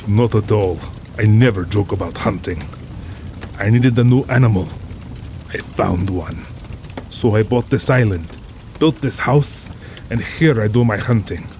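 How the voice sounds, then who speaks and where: A suave, pompous aristocratic general, rain behind. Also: male voice, fairly low pitch, measured pace, pauses often, a little mellow, a little hiss or hum. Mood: suave, boastful. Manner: pompous, suave, amused, formal diction. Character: urbane, cold-blooded older aristocratic general, a big-game hunter. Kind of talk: dramatized audiobook acting.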